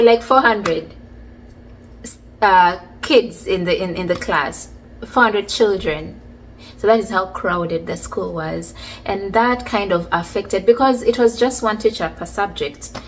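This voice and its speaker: A woman, casually telling stories from her childhood in a chatty, relaxed way. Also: medium pitch, a little slow, a little hiss or hum, rough recording. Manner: chatty, relaxed, many fillers like "like" and "you know". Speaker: vlogger. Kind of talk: casual storytelling about childhood.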